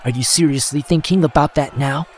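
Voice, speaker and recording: A man's voice: on the high side, brisk, slight background noise, pitched above the performer's own voice, close to an everyday voice. A man voicing an earnest, worried, pious boy hero in an audiobook, with a pleading manner.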